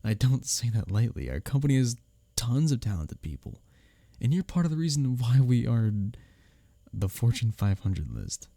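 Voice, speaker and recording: Casting: male actor as smitten workplace rival for intimate roleplay audio. Breathy, husky, dry close sound; teasing yet earnest. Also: medium pitch, dry recording. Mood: earnest, admiring. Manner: expressive, halting confessions with soft chuckles and sighs. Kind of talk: ASMR roleplay audio drama, spoken intimately to the listener.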